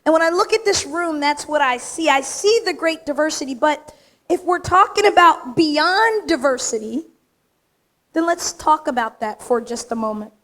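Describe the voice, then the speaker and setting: A woman with a high, slightly raspy voice delivers an acceptance speech to a full room. She talks straight and with emphasis, landing her points in short, punchy phrases. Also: normal speed, fairly bright tone. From United States. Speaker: award recipient giving an acceptance speech. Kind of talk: acceptance speech to a live audience. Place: large event room with audience.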